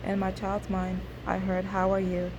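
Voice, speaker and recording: A woman whose native language is Igbo narrates a scripted first-person memoir, reading in a halting way with occasional slips. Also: medium pitch, monotone, background noise. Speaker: memoir author reading own story.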